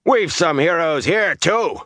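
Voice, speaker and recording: A man voicing a big, resentful village braggart with a loud, rough voice in a fantasy audiobook. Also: a little high, expressive, slow, dry recording, studio quality, voice pushed up, heavily acted. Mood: resentful boasting.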